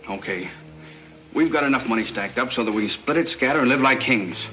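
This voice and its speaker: An actor playing a nervous, slangy informant in a 1940s crime serial, with an edgy, pleading way of talking. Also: male voice, mid-range voice, fairly quick, dull audio, close to an everyday voice. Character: nervous, slangy male turncoat informant for gangsters. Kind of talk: 1940s film serial acting. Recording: 1940s film soundtrack, faint music.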